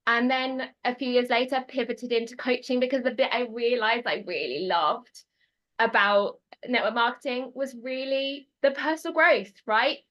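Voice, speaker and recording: A British woman in her thirties, a coach and podcast guest, talking in a bubbly, quick way with many fillers. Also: fairly high pitch, a bit bright, muffled recording. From United Kingdom. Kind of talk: casual podcast interview.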